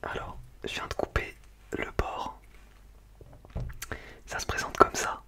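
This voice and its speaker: A man speaking in a breathy, unvoiced whisper, chatting casually and in slang in an ASMR tasting style. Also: takes it slowly. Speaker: ASMR food-tasting video creator.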